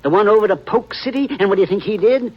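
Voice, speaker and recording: An American actor playing a boastful, garrulous small-town western dentist and posse leader in a 1950s radio drama, with a rustic twang. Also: male voice, high voice, fast, breathy edge. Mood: eager. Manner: folksy, garrulous, self-satisfied. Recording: muffled 1950s radio recording, faint noise.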